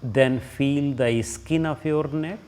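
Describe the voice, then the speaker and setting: A man with an Indian accent leading a guided relaxation as a yoga teacher, giving short instructions with long pauses between them. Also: medium pitch, a little mellow, clean tone.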